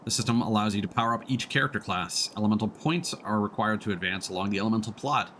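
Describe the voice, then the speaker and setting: A man's voice: lowish voice, fast talker, fairly bright tone, slight background noise. A man giving casual let's play commentary as a game streamer, reading on-screen text aloud. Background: faint game music.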